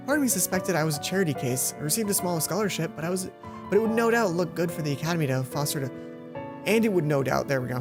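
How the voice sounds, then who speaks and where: A woman with a slightly low voice reads a visual novel aloud as a let's play host. She now and then trips over a line and takes it again. Music plays clearly behind her. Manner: stumbles over lines and rereads them.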